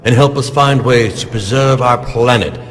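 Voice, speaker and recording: An actor playing a smooth, evasive corporate scientist in a game cutscene. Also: male voice, mid-range voice, normal speed, a little hiss or hum, close to an everyday voice. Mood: speechmaking. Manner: polished, dismissive, controlled. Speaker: company scientist giving speeches.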